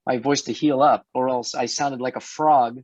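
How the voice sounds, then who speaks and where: A middle-aged man hosting an online health talk, chatty and hoarse, often restarting his words and laughing. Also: mid-range voice, fairly even intonation, normal speed, a little mellow, no echo. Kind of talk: casual panel chat. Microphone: video call. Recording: dull dry video-call audio.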